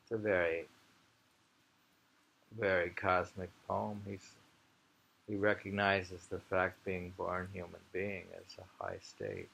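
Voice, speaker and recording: A man reading poetry aloud informally, with musing, halting commentary and frequent pauses, in an amateur recording. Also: low voice, expressive, gravelly, sharp tone, rough recording.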